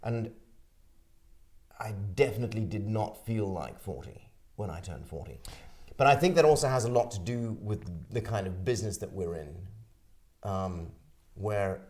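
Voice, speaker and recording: A man's voice: lowish voice, a little mellow. Stammering man in his forties. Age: 40s. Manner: stammering restarts, reflective. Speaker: co-host, actor. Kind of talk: casual chat.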